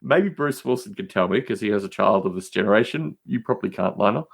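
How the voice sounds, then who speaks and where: A man's voice: fairly low pitch, lively intonation, quick pace, vocal fry, slightly rough. A man chatting casually as a podcast guest, teasing, opinionated and emphatic in how he talks.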